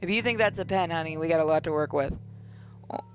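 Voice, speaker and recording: A woman's voice: low voice, fast talker. A woman hosting a gaming video, reading horror story text theatrically and dropping in casual joking asides. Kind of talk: dramatic read-aloud of horror game text with casual asides. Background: game music.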